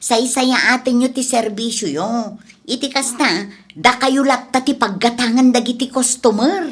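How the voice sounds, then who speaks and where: Woman voicing a high-pitched, sensible young nephew. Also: moderate pace, breathy edge. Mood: exasperated, calming. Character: sensible young nephew reasoning patiently with his uncle. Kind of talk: radio drama acting.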